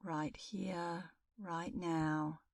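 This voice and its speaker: Slow, low-voiced woman guiding meditation. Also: no echo, studio quality, distant mic. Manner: calm, soothing, unhurried with long pauses. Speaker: meditation instructor. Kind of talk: guided meditation.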